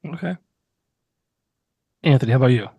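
Podcast host, mid-pitched man, curious and friendly, dry mic. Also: normal speed, gravelly, mellow, breathy edge, no echo, lo-fi sound. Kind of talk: interviewing. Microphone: video call.

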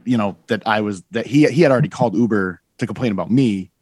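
Forty-something man, low and dry, rambling guest storyteller. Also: quick pace, darkish tone, no echo. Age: 40s. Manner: rambling storyteller, many fillers and self-corrections. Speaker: guest musician. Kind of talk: casual podcast chat.

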